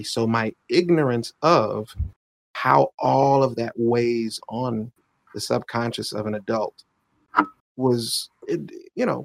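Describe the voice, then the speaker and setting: A man in his late thirties talking reflectively in a conversation, thoughtful and halting, with frequent restarts and filler phrases. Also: medium pitch, vocal fry, dry recording. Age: 30s.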